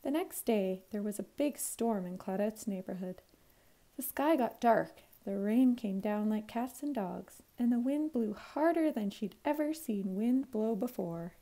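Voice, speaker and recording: A woman, a teacher reading a children's storybook aloud in an expressive, clearly phrased way. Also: medium pitch, unhurried. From Canada.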